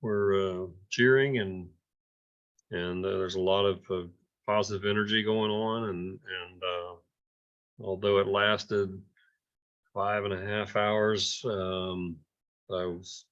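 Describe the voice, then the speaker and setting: Man, deep voice, very slow and halting, informal club report, muffled lo-fi sound. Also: steady tone, fairly bright tone. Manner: halting, hesitant delivery with repeated words. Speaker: amateur radio club member giving a report at a meeting. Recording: dull, muffled lo-fi audio.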